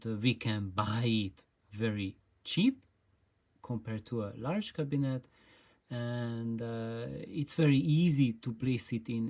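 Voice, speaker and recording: Host explaining stuff informally, really slow, lots of pauses n repeats, mid pitch. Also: a little mellow. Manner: halting, hesitant delivery with frequent pauses and repeated words. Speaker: host of an audio gear channel.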